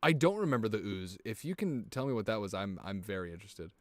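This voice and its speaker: A man streaming a video game, chatting casually with viewers in an excitable, rambling way and swearing often. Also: on the low side, quick pace. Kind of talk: casual gameplay commentary. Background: music.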